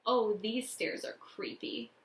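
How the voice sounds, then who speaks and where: A woman's voice: fairly high pitch, takes it slowly. A woman reading a storybook as a nervous, whiny young boy who is easily spooked. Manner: wailing, whining.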